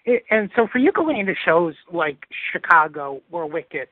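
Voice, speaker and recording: A man's voice: a little high, big pitch swings, normal speed, dry recording. A man hosting an interview, asking long rambling questions. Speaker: interviewer host. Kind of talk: phone interview. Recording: dry tinny phone line.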